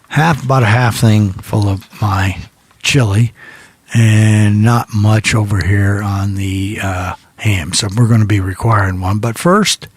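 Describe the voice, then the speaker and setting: Low-pitched, rambling male radio host. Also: slightly rough, dry recording. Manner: folksy, rambling, repeating himself. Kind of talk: casual rambling radio chat.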